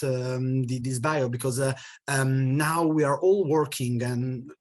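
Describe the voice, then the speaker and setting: A moderator introducing a guest speaker in a hesitant way, with many pauses and fillers. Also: medium pitch.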